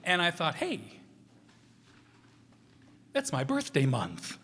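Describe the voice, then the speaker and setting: Guy chatting to an audience, highish voice, takes his time, kinda rambly, big echoey room. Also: wildly swooping pitch, takes it slowly, noisy background. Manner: rambling, self-deprecating. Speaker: director previewing upcoming shows. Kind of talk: informal talk to a live audience. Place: live stage in a hall. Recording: reverberant hall.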